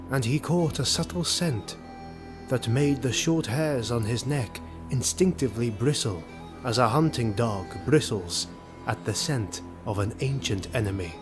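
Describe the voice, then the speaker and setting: A man narrating a fantasy audio drama in a measured, suspenseful scripted style. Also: mid-range voice. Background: music.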